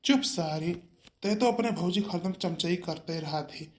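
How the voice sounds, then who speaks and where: A man's voice: high-pitched, a little slow, noisy background. A man voicing a grumbling, quarrelsome villager in a cartoon comedy, with an Awadhi accent and a blunt rustic manner. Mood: irritated. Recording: echoey, roomy sound.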